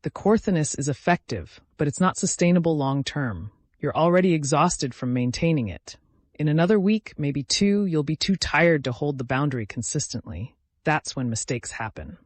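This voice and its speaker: A man's voice: a little high, animated, normal speed, dry recording. A man voicing a tired, determined female scholar in a fantasy story, grave and explanatory. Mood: warning.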